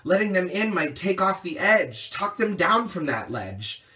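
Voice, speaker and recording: Man, somewhat high pitch, a bit far from the mic, slam poet, rhythmic rhyming delivery, earnest emphasis. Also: big pitch swings, unhurried, sharp tone. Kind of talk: rhyming slam poetry recitation.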